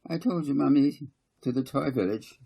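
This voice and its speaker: A man's voice: medium pitch, moderate pace, creaky, mellow, breathy edge, pitched above the performer's own voice, poor audio. A man voicing a bossy, matter-of-fact little girl, an older sister with a prim, assertive manner in a storybook. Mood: dutiful.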